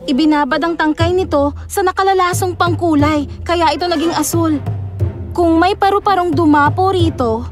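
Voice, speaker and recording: A young princess, super high voice, composed, cartoon fairy tale, music behind. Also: female voice, normal speed. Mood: firm. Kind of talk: animated fairy tale dub acting.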